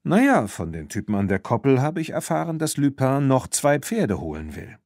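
A man's voice: mid-range voice, sing-song, normal speed, darkish tone, no echo, studio quality, pitched above the performer's own voice. Man voicing a laid-back, confident teenage boy. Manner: casual, self-assured.

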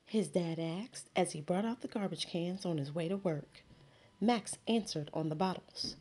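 A woman, a teacher, reading a children's picture book aloud with slow, expressive storytelling. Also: lowish voice. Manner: slow, expressive storytelling with sound words and character voices.

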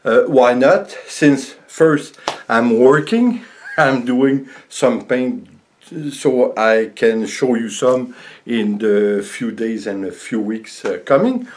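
An older man, around sixty, a native French speaker with a French accent, chatting casually to camera in a halting, rambling way as a vlogger in his home studio. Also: mid-range voice, animated, slight background noise. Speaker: vlogger and painter. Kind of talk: casual vlog chat to camera. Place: small home art studio indoors.

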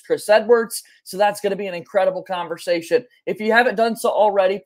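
A man's voice: high voice, a little mellow. A man in his thirties hosting a sports podcast in an eager, enthusiastic way from a small room at home. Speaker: podcast host.

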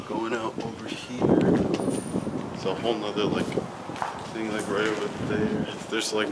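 A young man casually narrating a handheld vlog while exploring an abandoned factory, relaxed and slangy, joking with his viewers. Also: lowish voice, very noisy. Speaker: urban explorer vlogger. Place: abandoned factory, large indoor space. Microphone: handheld camera mic.

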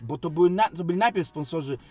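A man's voice: fairly high pitch, animated, fast talker, warm tone, husky. Emphatic man defending himself, music behind. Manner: emphatic, defensive, repeats phrases and asks rhetorical questions. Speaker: sports league company president and club coach. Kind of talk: unscripted studio interview answers.

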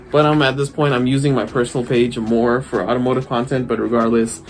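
A young man chatting to camera for his car vlog in a loose, rambling way, filling gaps with "like" and "literally". His voice sits at an ordinary middle pitch for a man. He speaks in easy, unscripted bursts. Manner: rambling, heavy use of "like" and "literally". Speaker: car vlogger. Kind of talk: casual vlog talk to camera.

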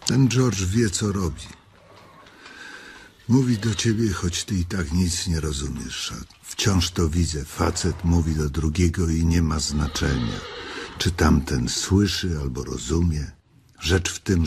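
A man's voice: low voice, a little mellow, slight background noise. A man acting a bitter, lonely, proud stable hand in a Polish radio drama, speaking bluntly.

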